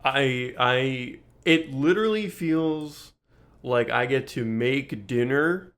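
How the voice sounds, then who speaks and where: Jokey, halting male podcast host. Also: medium pitch, fairly bright tone. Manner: halting, jokey, laughs often, swears casually. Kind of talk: casual comedic chat.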